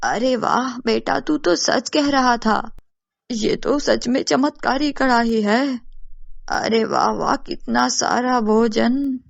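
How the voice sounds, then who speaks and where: A woman's voice: a little high, takes it slowly, gravelly, no echo, lo-fi sound, exaggerated voice. A woman voicing a kindly, simple elderly village mother in a storybook tale, with motherly exclamations. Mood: amazed. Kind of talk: storybook character acting.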